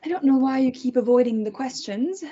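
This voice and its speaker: A woman's voice: high-pitched, a little fast, breathy edge. A woman reading a coolly sinister captor. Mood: cold. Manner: calm, clinical, mocking. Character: coolly menacing woman doctor-kidnapper Beth. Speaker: table read actor. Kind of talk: amateur table read. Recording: dull lo-fi recording.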